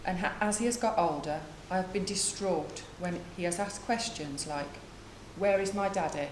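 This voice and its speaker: A young woman reads a prepared personal statement at a briefing. Her voice is on the low side. The room is echoey and there is clear noise behind her. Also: moderate pace. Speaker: bereaved partner giving a statement.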